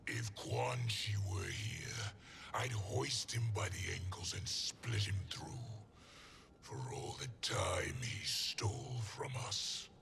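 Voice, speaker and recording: Huge evil warlord emperor for a game, super gravelly growl, slow, loud music behind. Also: male voice, on the low side, sing-song, in a whisper, heavily acted. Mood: menacing. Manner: menacing, domineering. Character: brutal, domineering warlord emperor, huge and menacing. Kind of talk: video game cutscene acting.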